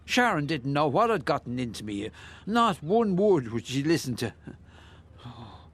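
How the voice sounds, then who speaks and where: An older Irish bricklayer in a radio drama, with a voice pitched a little high. He is chatty and nosy, musing and hesitating as he rambles on, full of wonder. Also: big pitch swings, unhurried, slightly rough, exaggerated voice. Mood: rambling, bemused. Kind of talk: radio play acting.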